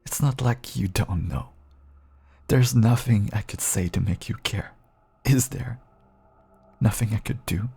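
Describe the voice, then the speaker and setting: Man acting a slow, gravelly, pleading caged vampire. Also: fairly low pitch, heavily acted. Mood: bitter, despairing. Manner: clingy, pleading, swinging from hushed endearments to bitter outbursts. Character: caged feral male vampire pleading with an estranged partner. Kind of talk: dramatic intimate audio roleplay monologue.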